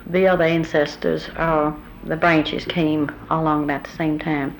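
Slow, low-voiced older woman. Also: level tone, low-quality recording. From Southern United States. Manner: unhurried, recalls family history with pauses. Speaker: oral history interviewee. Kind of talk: recorded oral history interview. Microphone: tape recorder microphone. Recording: old tape recording with faint hiss.

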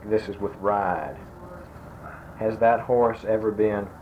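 A man in his sixties, speaking softly and slowly as he asks grammar fill-in-the-blank questions, his sentences trailing off. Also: fairly low pitch, steady tone. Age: 60s. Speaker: interviewer giving a grammar quiz. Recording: dull lo-fi tape with faint noise.

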